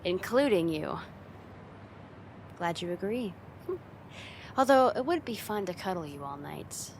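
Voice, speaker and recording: A woman's voice: lowish voice, animated, takes it slowly, a little hiss or hum. A young woman playing a friend's teasing, affectionate older sister in a casual, intimate ASMR roleplay. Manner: teasing, giggly, soft sighs, casual hesitant phrasing.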